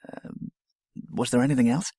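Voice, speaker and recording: A man playing a polite, hesitant scientist who speaks haltingly and deferentially in an amateur audio drama. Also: medium pitch, steady tone, dark tone, no echo, close to an everyday voice. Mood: hesitant, puzzled. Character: hesitant, polite male scientist, software engineer.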